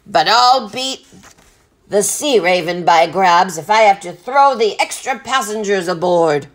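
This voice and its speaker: A blustering sea captain played by a woman narrator from a storybook, loud and growling. He swings widely in pitch and barks his threats with an explosive, hot temper. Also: animated, a little slow. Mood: irritable bluster. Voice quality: roaring, growling.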